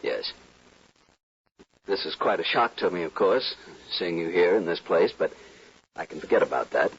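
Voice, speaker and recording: An actor playing a stiff, proud, self-centred gentleman suitor with a formal manner in an old-time radio western. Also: low voice, lively intonation, moderate pace, breathy edge, heavily acted. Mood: earnest, strained. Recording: dull, noisy old radio recording.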